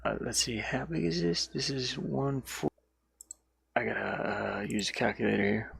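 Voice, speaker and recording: A man giving casual, easygoing devlog commentary as an indie game developer, halting and thinking out loud while he works. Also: mid-range voice, creaky, slightly rough, dry recording. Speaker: indie game developer showing his own game.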